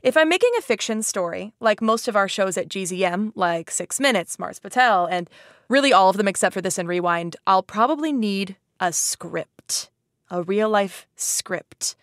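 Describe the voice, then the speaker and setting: A woman's voice: medium pitch, expressive, normal speed, no room sound, studio quality. A woman hosting a podcast in a casual, chatty way, playful and self-deprecating, often saying "like". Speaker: podcast host.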